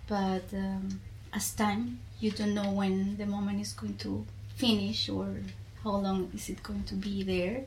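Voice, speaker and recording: A woman's voice: mid-range voice, flat delivery, unhurried, hardly any pauses, a little mellow, a little hiss or hum, poor audio. A woman from Colombia with a Colombian accent and Spanish as her first language, speaking reflectively in an interview and searching for words. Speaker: podcast guest.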